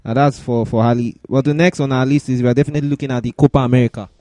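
A man hosting a sports talk show, introducing topics and commenting with halting, repeated phrases. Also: mid-range voice, steady tone, warm tone. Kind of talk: sports discussion, introducing segments.

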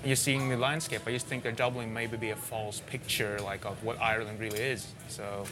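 A man's voice: on the low side, level tone, brisk, over music. A young man from Sweden, a native Swedish speaker, answering interview questions informally and a little tentatively. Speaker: interview guest. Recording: noisy location with clear ambience.

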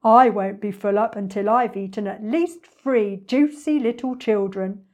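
A woman voicing an enormous, boastful, greedy male crocodile in a children's storybook, gloating and savouring the words with slow menace. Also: medium pitch, darkish tone, lightly acted. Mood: gleeful, boastful. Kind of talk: dramatic children's storybook reading.

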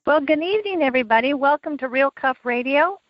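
High-voiced woman hosting a radio show. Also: expressive, fast, no room sound, faint music behind. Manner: friendly, with hesitant fillers. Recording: dull, dry audio.

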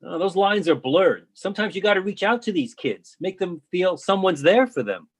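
Husky man playing blunt, accusing colleague. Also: high voice, very animated, normal speed, full character voice. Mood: probing. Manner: challenging, colloquial, probing. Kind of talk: readers theatre play reading.

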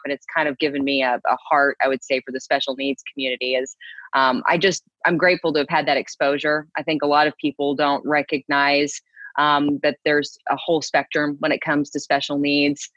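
A woman's voice: deep voice, a bit bright, no room sound, dull audio. A woman from Texas, a TV news co-anchor, chatting easily as an interview guest and laughing readily. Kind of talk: casual interview conversation.

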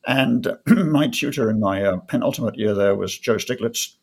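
An older man from North Wales, answering interview questions in a measured way with frequent ums and restarts. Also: fairly low pitch, gravelly, breathy edge. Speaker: guest economist. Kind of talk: conversational interview.

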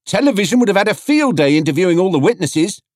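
A chatty, opinionated male stallholder from a storybook, acted out by a man. He is folksy and emphatic, his voice lifted high and swooping as he hands out advice. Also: sing-song, fast, no room sound, clean studio sound. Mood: excited, opinionated.

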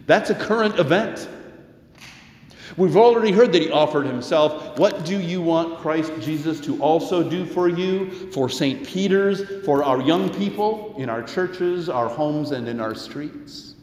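A middle-aged or older American man preaching as a pastor, measured and deliberate, with pauses and rhetorical questions. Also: a little high, animated, a little mellow. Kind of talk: sermon. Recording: echoey church hall with long reverb.

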